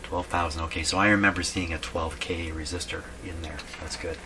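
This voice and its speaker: Very low male voice, casual repair commentary, musing aloud, self-questioning, clearly noisy workshop recording. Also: steady tone, fairly quick. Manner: musing, asks himself questions. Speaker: radio repair hobbyist and video host.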